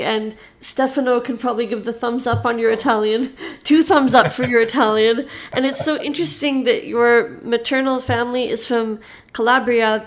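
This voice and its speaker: A woman hosting an interview, enthusiastic and quick to laugh as she asks her questions. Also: a little high. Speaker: host. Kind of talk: interview host.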